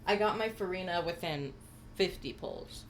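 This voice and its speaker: Low-pitched, chatty woman game streamer. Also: moderate pace, slight background noise. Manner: enthusiastic, chatty, uses gamer slang. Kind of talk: casual livestream commentary, chatting with viewers.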